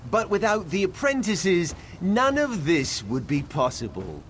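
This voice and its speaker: A man plays a learned garden keeper in a fantasy game. He explains formally and a little evasively in a lightly raised, expressive voice, over faint ambient noise. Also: high voice, unhurried. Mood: patient, explanatory. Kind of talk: video game character dialogue.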